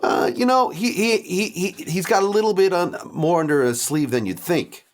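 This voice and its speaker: A man playing a hesitant, sly vampire sidekick in a tabletop game, with a halting, folksy, stammering manner. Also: sits high, lightly acted. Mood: wry, stammering. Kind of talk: improvised tabletop role-play.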